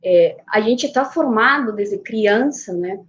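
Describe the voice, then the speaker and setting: A woman from Peru, a Spanish native speaker, speaking Portuguese with a Peruvian accent as a guest urologist in an online panel, measured and often checking in with "né" and "tá". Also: mid-range voice, expressive, highs cut off, slight background noise.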